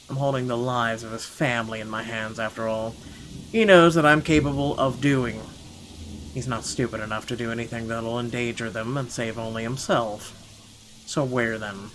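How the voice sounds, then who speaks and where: Man reading as indignant rich gentleman, noisy ambience. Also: mid-range voice, natural voice. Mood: wary, brooding. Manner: indignant, expressive, stumbles over the script. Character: wealthy irritable British man narrating his inner thoughts. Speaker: gaming channel host. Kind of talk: dramatic read-aloud of visual novel narration.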